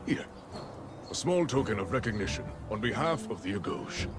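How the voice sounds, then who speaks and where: A man playing a stern, authoritative Spartan commander in a video game, speaking in a measured, formal way. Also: lowish voice, expressive, music in the background, natural voice. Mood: formal approval. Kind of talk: dramatic video game acting.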